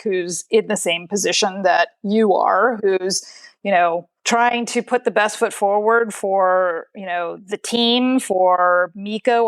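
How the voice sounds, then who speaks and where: A woman with a medium-pitched voice talks casually in a group interview. She tells stories in an animated way, slipping into quoted dialogue. The sound is dry and close. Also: no echo. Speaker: film producer, podcast guest.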